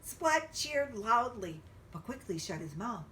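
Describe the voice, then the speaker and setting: A woman reading a picture book aloud to young children, expressively and with drawn-out emphasis. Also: on the high side, sing-song, pushed and bright, recorded from a distance, a little hiss or hum. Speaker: children's storybook reader.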